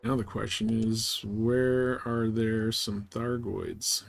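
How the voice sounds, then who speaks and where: A man's voice: lowish voice, fairly even intonation, slow pace, creaky. A man giving casual live commentary on a game, thinking aloud and muttering asides to his viewers. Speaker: live streamer. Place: live game stream, indoors.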